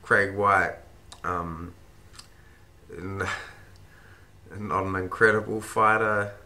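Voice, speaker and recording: A man with a croaky, run-down voice, rambling casually through fight picks with plenty of swearing. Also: deep voice, steady tone, very slow, bright, forceful tone. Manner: rambling, swears freely, thinks aloud with ums. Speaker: fight-pick vlogger. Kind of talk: casual fight predictions monologue.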